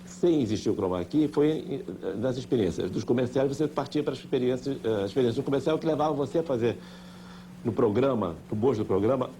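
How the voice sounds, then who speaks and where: An older man with a Brazilian accent recalling his work as a director, halting and repeating words. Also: mid-range voice, dark tone. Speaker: veteran TV director. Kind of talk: interview recollection. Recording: faint noise, old TV tape.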